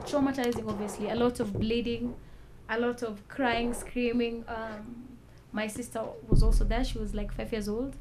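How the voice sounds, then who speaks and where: Woman, guest on a talk show, soft measured testimony, faint background hiss. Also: on the high side. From Kenya. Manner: soft-spoken, measured, pauses with fillers.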